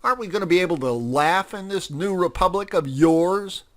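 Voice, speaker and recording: A man voicing a blunt, sharp-tongued male cat who speaks urgently. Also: sits high, animated, moderate pace, pitched above the performer's own voice. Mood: indignant.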